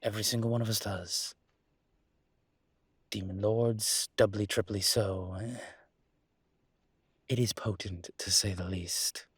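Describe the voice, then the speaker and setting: Man playing a slow, husky, refined ancient demon. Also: fairly low pitch, fairly even intonation, dry recording. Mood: measured, explanatory. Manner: measured, halting, wry, formal phrasing. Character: refined, wary, ancient male demon professor. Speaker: voice actor in audio roleplay. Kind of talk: intimate audio roleplay monologue.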